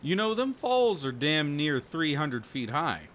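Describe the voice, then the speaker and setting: A man acts out a hospitable country fisherman in an audio roleplay. He has a bright, forward voice and speaks in plain folksy phrases with dropped g's. Some ambient noise sits behind him. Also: fairly high pitch, very animated, unhurried. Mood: amused, frank. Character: kindly rustic fisherman, folksy storyteller, hospitable.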